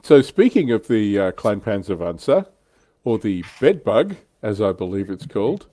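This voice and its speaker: A man's voice: lowish voice, sing-song, normal speed, mellow, no room sound. A man hosting a hobby livestream, chatting casually about tabletop wargame miniatures.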